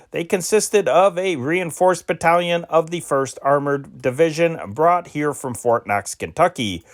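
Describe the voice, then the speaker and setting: A man reading old newspaper dispatches aloud as an amateur newsreader, steady and deliberate, with occasional slips he corrects. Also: on the high side.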